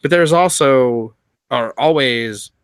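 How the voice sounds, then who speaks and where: A man's voice: medium pitch, slow pace, clear, resonant tone, dry recording, clean studio sound. A man reading a forum post aloud with sarcastic, laughing asides in casual comedic banter.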